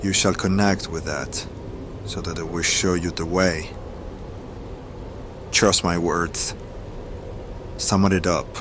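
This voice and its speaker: An actor playing a solemn, darkly assured mystical mentor in a fantasy audio drama, speaking with measured, commanding gravity. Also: male voice, low-pitched, fairly even intonation, background noise. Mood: solemn, persuasive. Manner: measured, portentous, incantatory. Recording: music under the voice.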